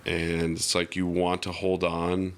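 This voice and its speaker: A man talking reflectively and casually as a podcast guest, in a halting, musing way with many fillers. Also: low voice, completely flat delivery.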